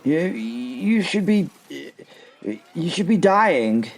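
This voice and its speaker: A man's voice: high-pitched, sing-song, very slow, full character voice. A man playing a battle-hardened medieval knight in an audio drama, a loyal comrade who swears and stammers. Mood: flustered disbelief.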